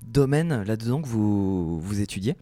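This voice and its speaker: A man hosting a radio interview, asking questions in a relaxed, conversational way. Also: lowish voice, moderate pace, no room sound. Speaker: radio host. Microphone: studio microphone.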